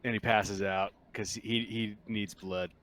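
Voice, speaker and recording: A man narrating a tabletop roleplaying game as game master, improvising in an informal, halting way. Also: on the low side, fairly even intonation, a bit bright.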